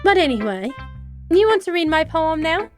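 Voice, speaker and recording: A woman's voice: extremely high pitch, sing-song, moderate pace, faint music behind, voice pushed up. A woman reading as a poised schoolgirl club president. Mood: persuasive. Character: confident, composed teenage club president girl. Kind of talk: reading visual novel dialogue aloud.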